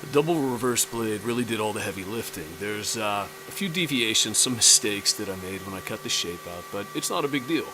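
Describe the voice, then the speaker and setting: A man hosting a casual woodworking tutorial in his workshop, relaxed and self-deprecating. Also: fairly low pitch, brisk, hardly any pauses, noisy background. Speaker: woodworking host. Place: home woodshop.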